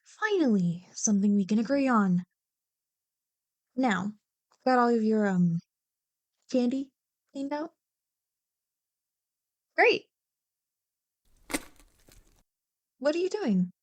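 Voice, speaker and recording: High-voiced, playful young woman friend, acted by a woman. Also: takes it slowly, long pauses, highs cut off. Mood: mock-exasperated. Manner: animated, bantering, mock-exasperated. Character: playful, teasing, Halloween-obsessed young woman, a childhood friend. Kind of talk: ASMR roleplay, one-sided scripted conversation.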